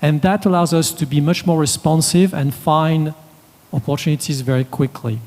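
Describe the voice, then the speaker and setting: A man's voice: fairly high pitch, steady tone, darkish tone. Man presenting in a reverberant hall. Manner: measured, businesslike, enumerating points. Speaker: conference panelist. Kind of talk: panel presentation. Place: conference hall. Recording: long reverb of a conference hall.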